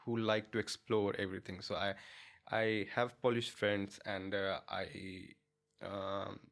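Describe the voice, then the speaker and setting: Guest interviewee: young Indian man, Indian accent, low-ish voice, halting and friendly. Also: little pitch movement, a bit bright. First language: not English. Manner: hesitant, many fillers and restarts, laughs easily. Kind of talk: casual interview chat.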